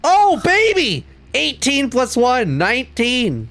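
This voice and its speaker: A man playing a tabletop game, chatting casually and improvising in a loud, excitable way. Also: very high-pitched, slow pace, slight background noise. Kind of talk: casual, improvised role-play chat.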